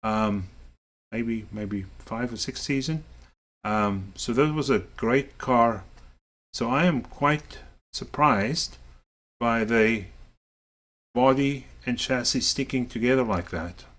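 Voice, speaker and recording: A man's voice: mid-range voice, slow. A man with a mixed South African and Canadian accent, hosting a casual show-and-tell video as a series organizer and rambling with many fillers. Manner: rambling, filler-heavy, relaxed.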